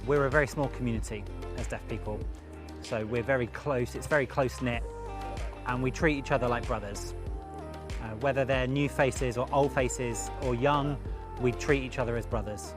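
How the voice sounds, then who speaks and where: A man's voice: medium pitch, steady tone, normal speed. A man from Scotland, a football player, speaking earnestly and with feeling in an interview. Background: music.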